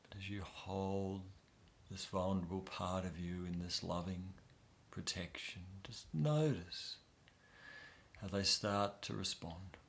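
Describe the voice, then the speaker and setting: A man guiding a soft, soothing inner-child meditation with long pauses. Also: low-pitched, creaky. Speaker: meditation guide.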